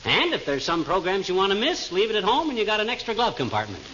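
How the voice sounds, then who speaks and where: Folksy blustering amateur inventor, 1940s radio comedy, high animated delivery, slangy. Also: male voice, fast, full character voice. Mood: boastful. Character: boastful, folksy middle-aged husband and amateur inventor. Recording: muffled, noisy old radio recording.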